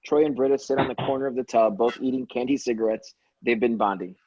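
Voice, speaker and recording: A man's voice: mid-range voice, fast talker, a little mellow, breathy edge, no room sound, highs cut off. A man reading script stage directions aloud in a plain, matter-of-fact way, with occasional stumbles. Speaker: stage directions reader at a table read.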